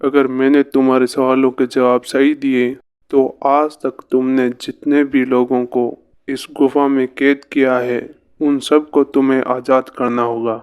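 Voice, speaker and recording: A man playing an earnest, brave and curious young village son in a cartoon fairy tale, with deliberate storybook delivery. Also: mid-range voice, little pitch movement, clean tone, lo-fi sound, close to an everyday voice. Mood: earnest, resolute.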